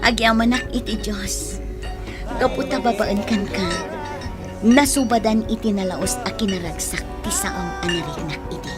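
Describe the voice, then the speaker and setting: A woman's voice: mid-range voice, normal speed, lightly acted. A woman with an Ilocano accent playing a grateful, tender, forgiving bride in a radio drama. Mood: grateful, earnest. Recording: radio drama with loud music bed.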